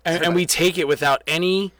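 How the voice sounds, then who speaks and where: A man's voice: a little high, steady tone, brisk, a bit bright, no room sound. A man who works in addiction rehab, chatting casually in an earnest, explanatory way and agreeing often. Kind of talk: casual chat.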